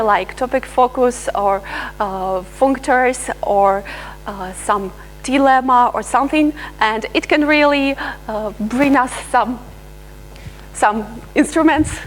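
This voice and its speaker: A woman researcher giving a live software demonstration, pausing often with fillers and restarting her sentences. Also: fairly high pitch, slight background noise, rough recording. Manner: hesitant, many filler sounds and restarts. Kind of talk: live software demo talk.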